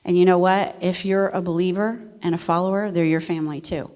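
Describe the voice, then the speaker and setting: A middle-aged woman from West Virginia, a missionary speaking to a church congregation in a folksy, animated way. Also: lowish voice, fairly quick. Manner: folksy and animated, laughs easily. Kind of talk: church testimony talk. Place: church sanctuary. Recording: long reverb of a church hall.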